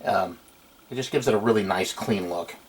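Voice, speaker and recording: A man's voice: fairly low pitch, normal speed. A middle-aged man giving a casual, unscripted hands-on demonstration at a workbench, recorded on a camera microphone in a small room. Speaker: hobbyist electronics restorer. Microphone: camera microphone at a short distance. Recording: noisy amateur camcorder room recording.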